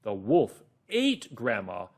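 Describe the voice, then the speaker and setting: Guy teaching kids reading, encouraging, stretches out key words, super up and down pitch. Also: huge pitch swings, husky. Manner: encouraging, explanatory, with drawn-out emphasis. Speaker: teacher and host. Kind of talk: children's reading lesson with story narration.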